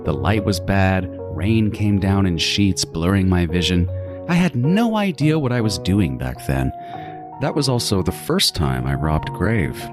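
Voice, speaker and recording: A man's voice: deep voice, big pitch swings, over music. A man playing a meticulous, gloating serial killer in a horror audio drama, savoring his words in a theatrical monologue. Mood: gleefully instructive. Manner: theatrical, savoring, deliberate phrasing with sudden swings.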